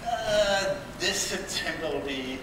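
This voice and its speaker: A man with a Danish accent speaking conversationally as a tech founder on a panel, halting at times. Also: on the high side, lively intonation, over a noisy crowd. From Denmark. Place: conference panel stage in a large hall. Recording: very echoey hall, distant mic, room noise.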